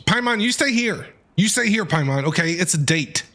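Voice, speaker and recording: A man's voice: sits high, expressive, moderate pace, bright, forceful tone. A man streaming a video game, playfully commenting and reading dialogue aloud in a theatrical, joking manner. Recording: game music behind the voice. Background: game music.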